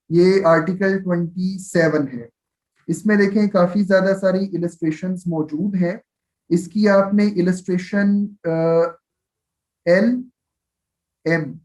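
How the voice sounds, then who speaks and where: Man, Pakistani accent, high-pitched, law lecturer, live class, explanatory, bilingual switching, frequent check-in tags. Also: a little mellow, poor audio. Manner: explanatory, with frequent check-in tags and slow, measured reading of English passages; switches between Urdu and English. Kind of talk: live exam-prep lecture, explaining and reading out statutes.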